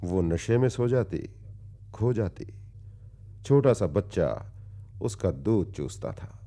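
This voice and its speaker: Brooding, low-voiced world-weary wanderer. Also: male voice, big pitch swings, vocal fry, mellow, heavily acted. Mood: reflective, resigned. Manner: brooding, deliberate, with long pauses. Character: world-weary philosophical wandering man recounting his past. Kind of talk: dramatic radio-play storytelling in formal literary diction.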